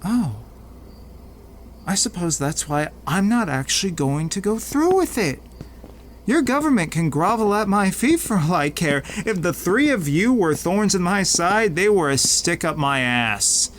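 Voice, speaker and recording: An actor playing a smug, menacing crime lord in an audio drama, pompous and taunting with drawn-out mock politeness. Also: male voice, high voice, sing-song, fairly bright tone, slight background noise, exaggerated voice. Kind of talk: audio drama villain acting.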